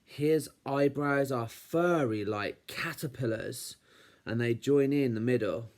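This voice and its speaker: A man's voice: mid-range voice, slow pace, natural voice. A man voicing a young, timid wolf cub in a storybook, with a childlike, wobbly manner that can turn stammering when nervous. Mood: chatty, grumbling. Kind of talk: storybook reading as letters.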